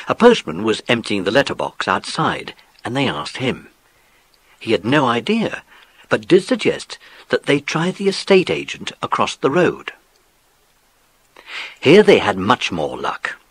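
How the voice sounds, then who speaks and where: A British man narrating a dramatised children's story in an expressive storytelling manner. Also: fairly low pitch, slightly rough, no room sound. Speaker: audiobook narrator.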